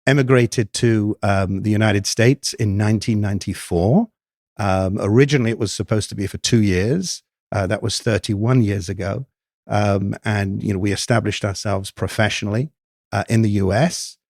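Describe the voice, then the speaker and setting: An older man from the United Kingdom with an English accent, a podcast guest talking in a thoughtful, measured way with many ums and restarts. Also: lowish voice, steady tone, darkish tone, no echo, studio quality. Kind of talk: podcast interview.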